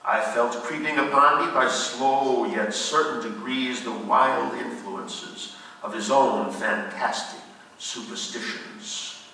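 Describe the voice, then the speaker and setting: A man plays an earnest, uneasy gentleman from a gothic horror tale. His bright, mid-pitched voice is formal and theatrical, as in a radio play. It sounds distant, in a hall with heavy echo. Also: gravelly, full character voice. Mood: grave, haunted. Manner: formal, measured, dramatic. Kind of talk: theatrical narration and radio drama acting. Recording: very echoey hall, distant mic, faint noise.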